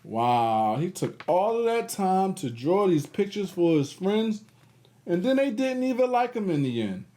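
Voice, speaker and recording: Slow, high-pitched man reading aloud. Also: very animated, mellow. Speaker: host of a children's read-aloud series. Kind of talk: expressive picture-book read-aloud with casual asides.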